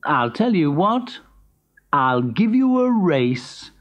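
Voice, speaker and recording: A man voicing a slow, steady, unruffled male tortoise in a children's storybook, drawing words out deliberately. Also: fairly high pitch, heavily acted.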